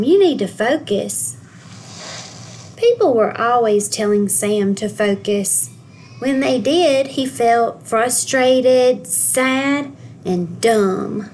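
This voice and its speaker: A woman's voice: fairly high pitch, slight background noise. A woman working as a school guidance counselor, expressively reading a picture book aloud to young children. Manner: expressive, pausing to ask the children questions.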